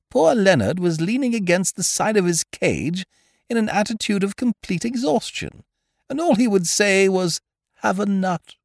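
A man's voice: high voice, gravelly, a little mellow, no echo, clean studio sound, pitched above the performer's own voice, heavily acted. A man voicing a fussy elderly aristocratic lady in a storybook, speaking primly and fretfully. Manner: prim, fretful, genteel.

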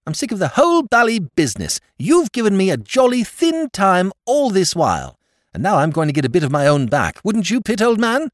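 A man voicing a petulant young aristocrat who rants in shrill, old-fashioned upper-class slang. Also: huge pitch swings, a little fast, dry recording, studio quality. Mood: ranting.

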